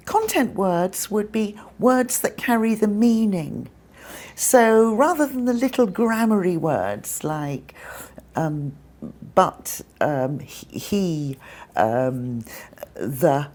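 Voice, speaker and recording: A woman's voice: mid-range voice, darkish tone. A woman hosting a live English-teaching show, explaining points with examples.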